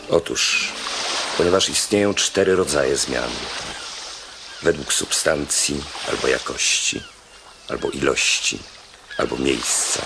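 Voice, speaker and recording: An actor playing a learned, deferential slave philosopher to a Roman governor in a radio play, measured and didactic. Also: male voice, a bass voice, gravelly, background noise, exaggerated voice. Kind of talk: Polish radio play acting.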